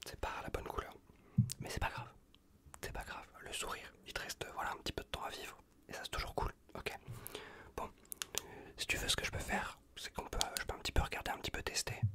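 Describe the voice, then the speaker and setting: Guy whispering asmr right up on the mic, bright breathy hiss, casual and reassuring exam roleplay. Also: quick pace. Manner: hushed, reassuring, repetitive, casual slangy talk. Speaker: ASMR performer playing an untrained medic. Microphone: close USB condenser microphone.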